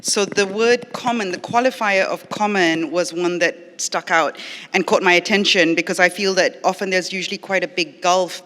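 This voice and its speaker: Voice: mid-range voice, fairly bright tone, big-room sound. A middle-aged lecturer and arts educator from Malaysia gives an academic forum talk, partly read from notes, earnest and explanatory with frequent ums and uhs. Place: library event space at a public forum.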